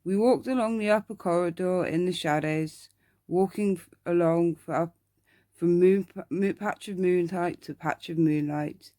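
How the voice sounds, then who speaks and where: A woman reading a bedtime story in ASMR style, deliberately and with the odd stumble over words. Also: on the low side, warm tone, no echo.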